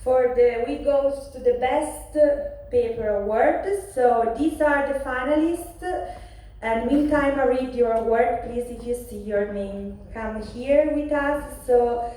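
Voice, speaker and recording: A woman with a strong Italian accent, announcing paper award finalists and winners at a conference, halting over names and apologizing for her pronunciation. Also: a little high, warm tone. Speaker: award presenter. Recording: echoey hall, distant mic.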